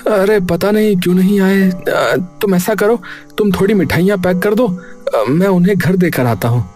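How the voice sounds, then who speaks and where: A man's voice: sits high, moderate pace, darkish tone, faint music behind, lightly acted. A man voicing village characters in a cartoon, a hesitant servant and a friendly sweet seller, speaking with many stammers and fillers. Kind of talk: cartoon voice acting.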